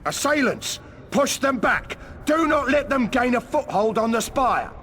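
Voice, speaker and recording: An actress playing a defiant army commander in a video game, barking urgent military orders. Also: female voice, a little high, sing-song, a little slow, a bit bright, a little hiss or hum. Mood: urgent, furious. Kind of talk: scripted video game acting.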